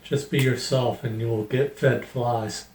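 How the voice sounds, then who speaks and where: A man's voice: medium pitch, steady tone, measured pace, a little mellow. A middle-aged man with dry, wry humor hosting a casual picture book reading and review. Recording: roomy echo, noticeable background hiss.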